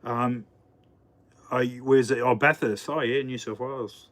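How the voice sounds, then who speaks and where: A man's voice: medium pitch, fairly even intonation, unhurried, fairly bright tone. An Australian man hosting a casual livestream from his home kitchen, chatting to viewers while he cooks, rambling with lots of fillers and restarts. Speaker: livestream host. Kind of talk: casual live chat while cooking.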